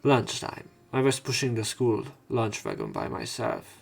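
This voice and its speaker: A man's voice: mid-range voice, wildly swooping pitch, a little slow, creaky, husky, soft background music. A man reading visual novel narration aloud as a let's player, with casual commentary. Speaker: let's play streamer.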